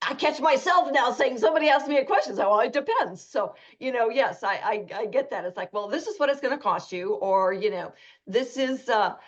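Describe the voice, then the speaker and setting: A woman's voice: a little high, expressive, fairly quick. Chatty woman radio host, lo-fi. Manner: chatty, anecdotal, acts out quoted lines. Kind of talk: radio talk show chat and ad reads.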